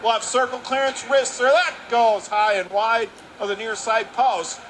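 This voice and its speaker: A man doing radio play-by-play of a hockey game, calling fast and rising sharply into excitement on goals. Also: very high-pitched. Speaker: play-by-play announcer. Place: arena broadcast position. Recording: noisy arena broadcast.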